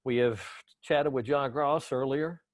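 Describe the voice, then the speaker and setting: A man hosts a casual interview in a folksy, chatty way and teases his guest with easy jokes. His voice sits in a middle pitch. The sound is dry and close. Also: measured pace, no room sound. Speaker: interview host.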